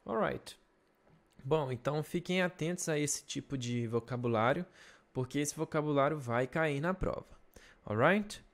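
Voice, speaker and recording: A young man with a Brazilian Portuguese accent, an English teacher slowly explaining a lesson in a recorded video and checking in with his students. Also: on the high side. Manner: slow, patient explaining with check-in questions.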